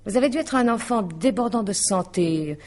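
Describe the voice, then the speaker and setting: An actress playing a composed, persuasive astrologer in a French radio drama, speaking in a measured, explanatory way. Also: female voice, mid-range voice, animated, a little mellow, close to an everyday voice. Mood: assured. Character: composed, persuasive female astrologer consulting a client. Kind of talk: 1950s-style French radio drama acting.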